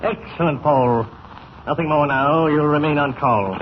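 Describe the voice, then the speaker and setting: A man's voice: on the high side, natural voice. Man playing suave, calculating crime boss on muffled old radio. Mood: smug, controlled. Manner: formal, measured, suavely menacing. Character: masked male criminal mastermind, smooth and calculating. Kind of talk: 1940s radio acting. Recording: muffled old radio serial, faint noise.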